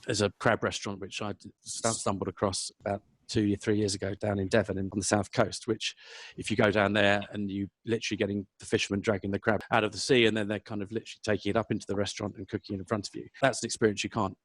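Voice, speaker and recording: A man from South London speaks with a London accent at a quick clip. His voice sits fairly low and is recorded dry and close. He answers conversationally, often doubling back to rephrase himself. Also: level tone, no echo. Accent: London English. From South London, England. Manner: hesitant, self-correcting, rambling. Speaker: podcast guest, food business professional.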